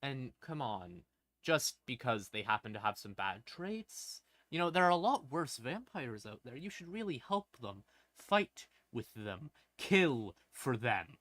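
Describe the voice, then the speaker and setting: A man with a bright, forceful voice and a mid-range pitch, recorded close and dry. He explains lore as a livestream host in an informal, wry way, breaking his sentences into short phrases with pauses and punchy stressed words. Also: very animated, no room sound. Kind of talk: casual lore explainer to a live audience.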